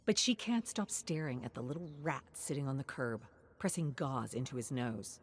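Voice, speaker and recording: A woman's voice: a bass voice, sing-song, normal speed, slightly rough. A woman reading scripted, dramatic story narration.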